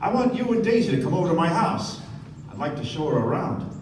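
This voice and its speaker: A man's voice: a little high, very animated, quick pace. An actor playing a nervous, eager, genteel rich man with clipped politeness in a radio play. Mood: eager, boastful. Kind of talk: live radio play acting. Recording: very echoey, distant, noisy stage recording.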